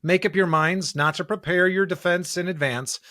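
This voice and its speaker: A man's voice: sits high, fairly even intonation, normal speed, bright, forceful tone, no room sound, studio quality. An American man co-hosting a church podcast, chatting casually and reading scripture. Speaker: podcast co-host and pastor.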